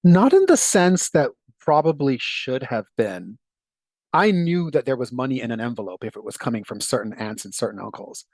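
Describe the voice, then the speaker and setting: A man's voice: mid-range voice, very animated, normal speed, no echo. A middle-aged man from Jamaica, telling his story as an interview guest in a thoughtful way that laughs easily. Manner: thoughtful, warm, laughs easily, occasional restarts.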